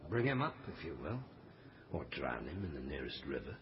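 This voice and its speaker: An actor playing a callous, menacing stranger, a heartless villain's agent in a period radio drama. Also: male voice, extremely low pitch, big pitch swings, brisk, muffled recording, a little hiss or hum. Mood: cold, callous.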